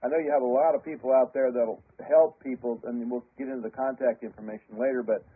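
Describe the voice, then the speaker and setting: A man's voice: mid-range voice, fairly even intonation, fast, slightly rough. A man hosting a radio interview in a casual, conversational way. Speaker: radio show host, interviewer. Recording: dull, lo-fi radio sound.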